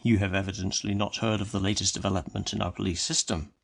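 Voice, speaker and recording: A man voicing a calm, solemn, educated policeman who speaks in a slow and measured way. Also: low voice, creaky. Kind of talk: audiobook character reading.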